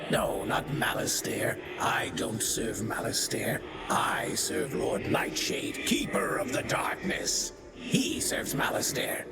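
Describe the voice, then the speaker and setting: Game villain: spiteful ghostly spectre, low and gravelly, theatrical taunts, loud music underneath. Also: male voice, big pitch swings, slow pace, whispered, exaggerated voice. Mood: gloating. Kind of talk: video game character acting.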